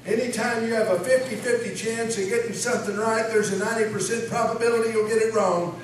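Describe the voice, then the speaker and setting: A man's voice: sits high, fairly even intonation, fast, close to an everyday voice. An actor playing a cranky, folksy old small-town wise man in a live comic radio play, with a blustering delivery. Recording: echoey hall from far off, noisy.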